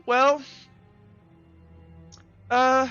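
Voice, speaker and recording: A man's voice: extremely high pitch, level tone, drawn-out words, sharp tone, clean tone, muffled recording, faint music behind, pitched above the performer's own voice, full character voice. A man voicing a brusque but helpful woman shopkeeper in a tabletop game, with hesitant, informal phrasing. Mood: helpful, confiding.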